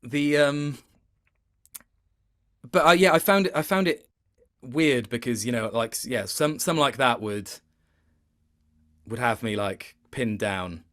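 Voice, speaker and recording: A man's voice: mid-range voice, lively intonation, slow, pauses often, a bit bright. A man talking casually as an interview guest, thoughtful, hesitant and a little self-deprecating. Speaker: interview guest, video game voice actor. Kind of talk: casual chat.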